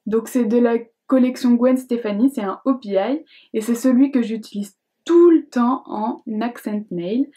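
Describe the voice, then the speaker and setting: Young woman, beauty vlogger, fairly high pitch, easygoing chatty delivery full of fillers. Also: unhurried. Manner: chatty, hesitant, many fillers and restarts; reads questions aloud in a brighter voice. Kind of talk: casual chat to camera.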